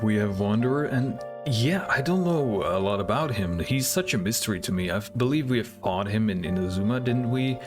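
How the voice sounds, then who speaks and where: A man hosting a reaction video, commenting casually and hesitantly as he thinks aloud. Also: fairly low pitch. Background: music.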